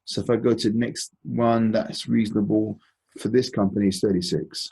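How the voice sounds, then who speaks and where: A man presenting an informal stock analysis as host of an investment call, explaining in a halting, deliberate, conversational way. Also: fairly low pitch, steady tone, vocal fry, mellow, rough recording.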